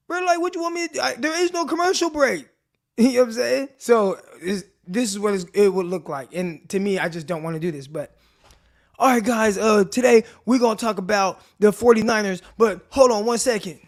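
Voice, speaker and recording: A man with a naturally raspy, dry voice hosting a casual live sports talk stream, chatting straight to his viewers in relaxed slang. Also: sits high. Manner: laid-back slangy chat addressing viewers directly. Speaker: podcast host. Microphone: desk mic with earbuds in.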